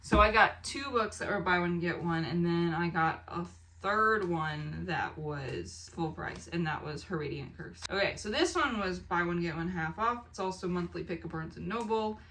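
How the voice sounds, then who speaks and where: A woman vlogger chatting casually and excitedly to the camera, animated and rambling with expressive asides. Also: lowish voice, moderate pace, few pauses, slight background noise. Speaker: book haul vlogger and fiction author.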